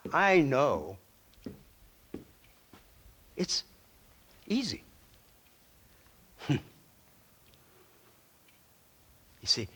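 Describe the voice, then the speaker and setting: A man's voice: mid-range voice, fairly bright tone, breathy edge, full character voice. A man acting as a smug, sardonic, ruthless American government agent in a western video game, speechifying mockingly with theatrical flair. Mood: smug lecturing. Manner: mocking, rhetorical speechifying with chuckles.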